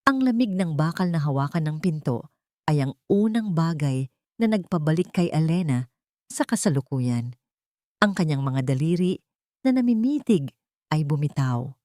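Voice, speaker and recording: Low-pitched woman narrating drama. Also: expressive, normal speed, long pauses, dark tone, dry recording, studio quality. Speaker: story narrator. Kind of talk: dramatic scripted narration of a love story.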